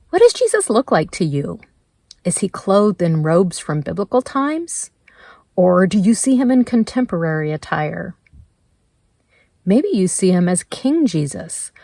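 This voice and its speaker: A woman leading a guided meditation in a gentle, measured way, leaving pauses between her prompts. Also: medium pitch, no room sound. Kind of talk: slow guided meditation narration.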